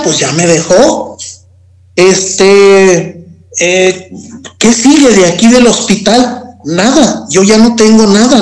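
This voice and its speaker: Slow, halting Mexican man. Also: high-pitched, a little mellow, poor audio. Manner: slow, halting, repeats words. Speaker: interview guest. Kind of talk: personal testimony.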